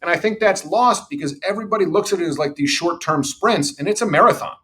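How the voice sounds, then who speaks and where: A man's voice: high-pitched, fast. A middle-aged man, a sales executive, telling confident stories from his career in a podcast interview. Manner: confident, anecdotal, says he has a loud voice. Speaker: revenue executive guest.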